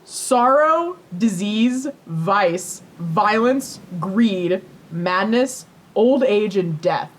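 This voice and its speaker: A woman's voice: medium pitch, animated, extremely slow, hardly any pauses. A woman co-hosting a podcast, casually retelling a myth in informal language with swearing and plenty of "like". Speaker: podcast co-host.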